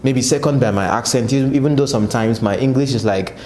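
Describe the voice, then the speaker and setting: Nigerian man, political analyst, rhetorical, mellow tone, echoey room, faint background hum. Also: medium pitch, brisk. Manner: rhetorical, asks and answers his own questions. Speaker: political analyst, channel host. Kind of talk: commentary to camera.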